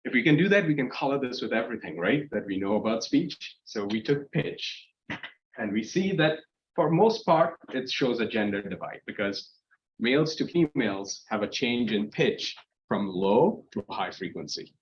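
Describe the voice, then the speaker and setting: A man's voice: medium pitch, lively intonation, moderate pace, lo-fi sound. A man giving a guest lecture at a university seminar, explaining in a loose style with restarts along the way. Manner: explanatory, with frequent fillers and restarts. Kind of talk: academic seminar talk. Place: lecture room.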